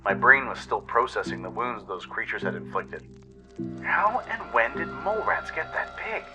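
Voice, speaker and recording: Sharp, forceful man narrating a radio drama. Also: on the low side, poor audio. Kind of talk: dramatic scripted first-person story narration.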